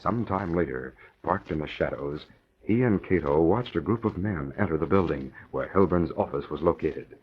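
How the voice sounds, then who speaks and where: A man's voice: a bass voice, lively intonation, normal speed, a little hiss or hum. A man performing as a dramatic narrator of a 1940s radio serial, with scripted delivery. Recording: muffled vintage radio recording.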